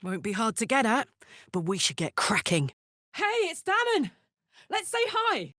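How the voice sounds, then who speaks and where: A woman's voice: fairly high pitch, huge pitch swings, unhurried, a bit bright, no echo, studio quality, heavily acted. An actress with a British accent playing a brash, big-hearted, battle-hardened warrior woman in a fantasy video game, blunt and earthy in manner. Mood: confident, then excited.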